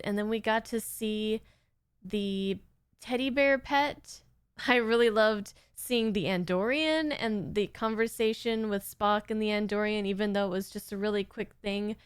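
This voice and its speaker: A woman's voice: mid-range voice, clean tone, dry recording, studio quality. A woman hosting a reaction video, chatting casually in an appreciative, thoughtful manner. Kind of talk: casual, reflective chat.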